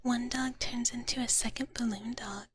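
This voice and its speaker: A woman whispering softly and breathily in a gentle, doting big-sister manner, chatting as an ASMR livestreamer close to the microphone and often repeating her words. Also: a little high, steady tone, normal speed, breathy edge, no room sound. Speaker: ASMR streamer. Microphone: close microphone, dry sound.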